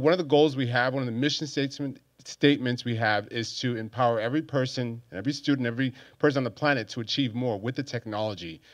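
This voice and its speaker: A friendly, conversational man in his thirties, born in Nigeria and raised in Hawaii, introduces himself on a panel. He holds a handheld mic and speaks at a middle pitch. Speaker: panelist, tech professional. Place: stage at a large event space. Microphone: handheld microphone.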